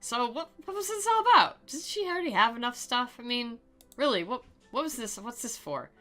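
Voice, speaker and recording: A woman's voice: a little high, expressive, brisk, a bit bright, faint music behind. A woman giving sarcastic commentary while reading a visual novel aloud in a mock-dramatic way. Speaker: game commentator and reader.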